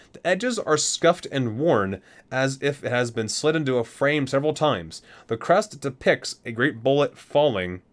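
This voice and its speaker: A man hosting an ASMR video, reading guidebook entries aloud and sometimes stumbling and correcting himself. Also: medium pitch.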